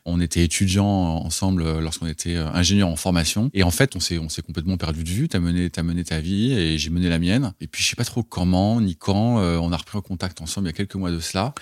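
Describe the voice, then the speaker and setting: A man in his forties hosting a business podcast, reading a measured introduction and then chatting casually with a guest. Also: low-pitched, no echo, clean studio sound. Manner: measured, deliberate reading; relaxed and hesitant in conversation. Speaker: podcast host.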